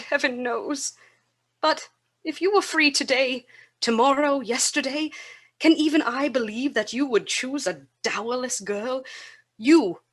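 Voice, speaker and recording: An actress playing a sorrowful, gentle young Victorian woman in a radio drama. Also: a little high, breathy edge. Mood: resigned, tender. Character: Belle, sorrowful gentle young woman releasing her betrothed.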